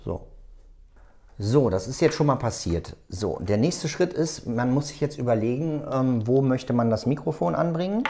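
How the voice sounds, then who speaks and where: Mellow-voiced man giving a hands-on tutorial. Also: medium pitch, animated. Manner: unscripted, halting, step-by-step explaining. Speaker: tutorial host. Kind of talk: hands-on tutorial, talking while working.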